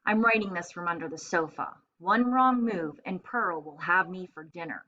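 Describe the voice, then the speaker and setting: A woman voicing a self-satisfied, excitable little male hamster telling his diary in a children's storybook. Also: on the low side, normal speed, lo-fi sound. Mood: indignant. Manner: self-satisfied, exclamatory, asks and answers himself.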